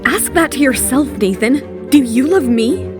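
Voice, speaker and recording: Woman acting assertive, high-voiced young bride-to-be. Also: expressive, normal speed, music in the background. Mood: defiant. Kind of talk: dramatized audio romance.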